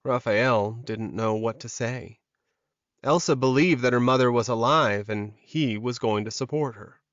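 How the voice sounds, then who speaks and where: A man's voice: medium pitch, a little slow, fairly bright tone, dry recording, lo-fi sound. A man reading scripted fiction as an audiobook narrator, in a dramatic storytelling style.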